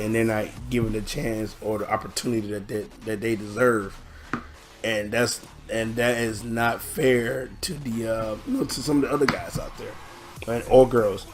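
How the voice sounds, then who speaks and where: A hoarse man hosting his own channel, rambling through a casual reaction video with many halting restarts. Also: lowish voice, steady tone, over music. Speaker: channel host.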